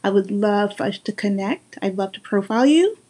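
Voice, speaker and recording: A woman's voice: medium pitch, lively intonation, slightly rough. Earnest, emphatic Guyanese woman podcaster. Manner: earnest and emphatic, with slow deliberate stresses and warm laughs. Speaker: podcast host. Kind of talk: casual solo podcast monologue.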